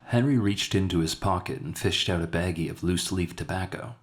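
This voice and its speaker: Low-pitched man narrating a story. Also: brisk. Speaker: author narrating his own story. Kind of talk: scripted dramatic story narration.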